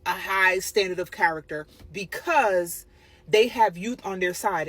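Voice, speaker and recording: A woman's voice: mid-range voice, slightly rough. A woman giving an emphatic, opinionated monologue as a commentator, often checking in with the listener. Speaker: commentator on dating.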